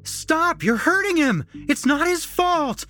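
A man's voice: sits high, big pitch swings, unhurried, soft background music, voice pushed up. A man voicing a protective woman doctor in an audio story, insistent and pleading. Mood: shouting, protective.

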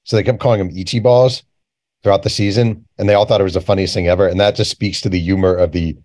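Lower-voiced man, candid and casual, dry sound. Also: steady tone, quick pace, darkish tone, dry recording. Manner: candid, colloquial, emphatic through repetition. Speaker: author and journalist guest. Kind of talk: casual conversational interview.

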